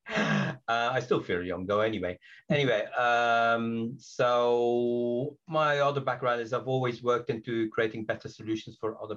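A man's voice: on the low side, a bit bright. Belgian man, hesitant and reflective, lo-fi sound. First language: not English. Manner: reflective, hesitant, many fillers and restarts. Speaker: guest. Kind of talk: podcast interview.

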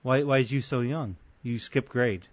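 A man's voice: medium pitch, normal speed. A man in his thirties hosting a podcast, with casual chat and dry, teasing humor. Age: 30s. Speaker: podcast host.

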